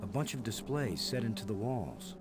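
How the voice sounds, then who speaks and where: An actor playing an earnest, curious astronaut explorer in an adventure video game, with a wry, musing manner. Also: male voice, on the low side, sing-song, normal speed. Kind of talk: 1990s adventure game dialogue and narration of surroundings. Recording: loud music under the voice.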